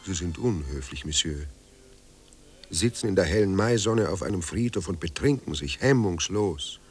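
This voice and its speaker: An actor playing a dead writer in a radio play, wry, restless and formally courteous. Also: male voice, deep voice, animated, moderate pace, breathy edge, soft background music. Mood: wry, aggrieved. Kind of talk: radio play acting, monologue and dialogue.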